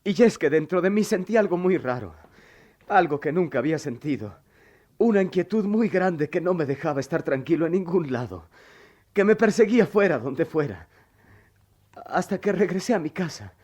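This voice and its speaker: Troubled young student, animated pitch. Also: male voice, moderate pace. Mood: remorseful. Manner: dramatic, heartfelt delivery. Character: troubled, remorseful poor young student, devoted son. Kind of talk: radio drama acting and first-person narration.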